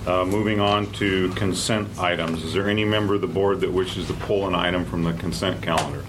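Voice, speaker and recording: Male board chair, deep voice, procedural off-the-cuff delivery, noisy room recording. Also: fairly even intonation, fairly quick. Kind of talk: formal meeting procedure, spoken off the cuff. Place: indoor public meeting room.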